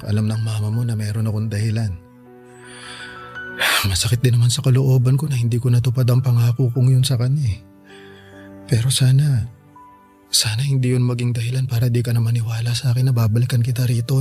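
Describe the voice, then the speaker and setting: A man's voice: medium pitch, flat delivery, a little fast, pauses often, faint music behind, natural voice. An actor playing a loving, apologetic Filipino father who works abroad, speaking tenderly and pleadingly in a radio drama. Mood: tender, regretful.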